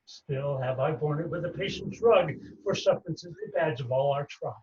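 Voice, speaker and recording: A man performing a wronged, bitter moneylender in a Shakespeare play, with deliberate, declaimed stage delivery. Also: on the high side, a little mellow, lightly acted. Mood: bitter, aggrieved. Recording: muffled, distant microphone.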